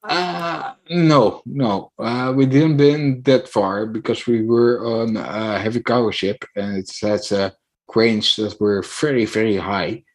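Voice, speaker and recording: Hesitant man, low voice. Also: steady tone, takes it slowly. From Netherlands. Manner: hesitant, many fillers and restarts. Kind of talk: casual chat.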